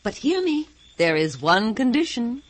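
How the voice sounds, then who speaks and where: A woman's voice: a little high, big pitch swings, a little slow. An actress playing a kindly, grand fairy godmother, stately, theatrical and indulgent, in old-time radio drama style. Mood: gently commanding.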